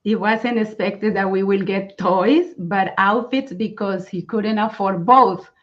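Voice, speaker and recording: Slow-talking Colombian woman, muffled call audio. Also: medium pitch. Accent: Colombian Spanish. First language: Spanish. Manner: earnest, many fillers and restarts. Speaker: guest, mindfulness coach. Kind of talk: personal story in a casual interview. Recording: dull lo-fi sound.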